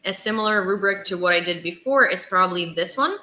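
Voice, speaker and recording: Bright clear-cut american sounding lady doing a news stream, chatty, roomy echo. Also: on the low side, brisk. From Ukraine. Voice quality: versatile English with American-sounding vowels. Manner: chatty, informal, thanks viewers by name. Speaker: livestream host reporting Ukraine war news. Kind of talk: news reading mixed with casual chat to viewers.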